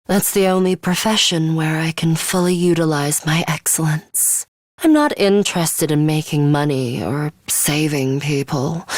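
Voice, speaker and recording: A woman's voice: fairly low pitch, darkish tone, no room sound, clean studio sound. A woman playing a serious, coolly arrogant schoolgirl fighter with a bloodthirsty streak in a video game, speaking in a formal, precise, faintly sinister way. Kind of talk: English video game voice acting, measured monologue.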